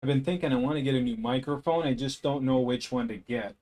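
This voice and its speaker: A man with a somewhat deep voice chatting casually on a live stream at home, thinking aloud in a rambling, unhurried way into a desk microphone. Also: mellow.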